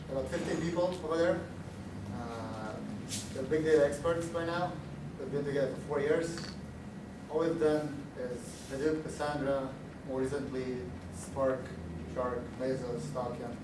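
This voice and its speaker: A man's voice: medium pitch, steady tone. Romanian-accented man in an echoey hall. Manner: halting, many "uh" fillers, restarts. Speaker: conference speaker. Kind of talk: technical conference talk. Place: large echoey conference room. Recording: echoey room audio, distant mic, background noise.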